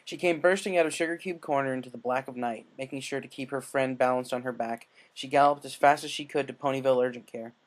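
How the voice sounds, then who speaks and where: A man's voice: mid-range voice, fairly even intonation, fast, few pauses. A man casually introducing and narrating fan fiction as its reader.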